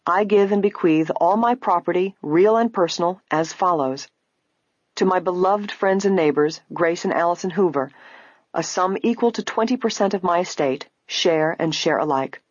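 A woman voicing a calm, courteous male lawyer in a story reading, even and formal. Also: lowish voice, normal speed, no echo, highs cut off, voice pushed up, lightly acted. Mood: measured reading.

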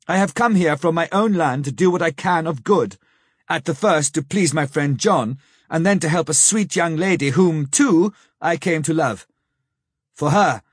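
A man voicing an old male professor who speaks gravely, sternly and with pity, in slow, solemn, pleading phrases for an audiobook. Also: medium pitch, no room sound, studio quality, natural voice. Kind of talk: audiobook character reading.

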